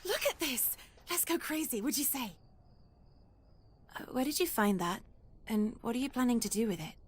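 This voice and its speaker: An actress playing a rebellious, impulsive runaway teenage maid in a video game, with a cheeky, eager manner. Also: female voice, on the high side, sing-song, moderate pace, breathy edge. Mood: excited, playful. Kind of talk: video game cutscene acting.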